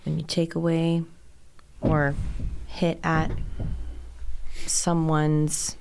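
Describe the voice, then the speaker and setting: American woman on a podcast, slightly low voice w lots of fry, playful n emphatic, casual chat. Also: completely flat delivery, very slow, background noise. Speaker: teacher, podcast co-host.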